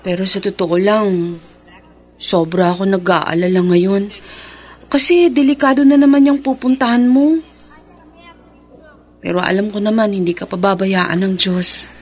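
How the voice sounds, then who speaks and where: A woman's voice: medium pitch, measured pace, pauses often, a little mellow, natural voice. An actress playing an affectionate, devout young woman who works overseas, earnest and emotive in a radio drama.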